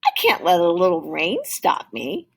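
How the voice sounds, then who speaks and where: A woman's voice: fairly low pitch, wildly swooping pitch, moderate pace, creaky, slightly rough, full character voice. A woman voicing an eager, stubborn young boy in a storybook, speaking earnestly and insistently. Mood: protesting.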